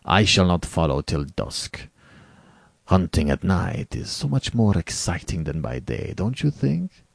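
A man's voice: deep voice, very animated, unhurried, vocal fry, dry recording, studio quality, full character voice. A man playing a suave, condescending aristocratic general, wildly swooping. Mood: businesslike, airy. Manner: courtly, condescending, leisurely and theatrical. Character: suave aristocratic Cossack general, cruel hunter, falsely courteous. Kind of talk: dramatic storybook reading.

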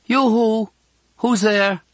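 Man voicing a high, sing-song Irish teenage girl. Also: fairly even intonation, takes it slowly, a bit bright, dry recording. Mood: curious, calling out. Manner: sing-song calling, teasing. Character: lively, cheeky thirteen-year-old Irish girl in hospital.

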